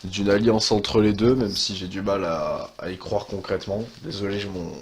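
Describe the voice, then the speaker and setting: A man's voice: fairly low pitch, steady tone, normal speed, a little hiss or hum. A young man with a stuffy cold, talking casually and hesitantly about wrestling as a channel host, with many fillers and sighs. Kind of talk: casual commentary monologue.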